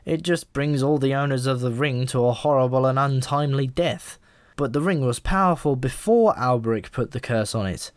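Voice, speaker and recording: A man's voice: mid-range voice, moderate pace, no room sound, natural voice. A man voicing a talking pigeon in an audiobook, a knowing and easily exasperated explainer with a wry, emphatic manner. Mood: chatty, explaining.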